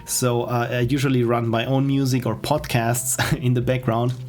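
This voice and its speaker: A middle-aged Austrian man streams from a home studio, talking in a loose, rambling way while he works. His voice sits at an ordinary middle pitch. Quiet music plays under him. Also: little pitch movement, normal speed. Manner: rambling, chatty, with frequent restarts and soft laughs. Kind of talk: casual livestream chat while editing. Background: faint music and keyboard typing.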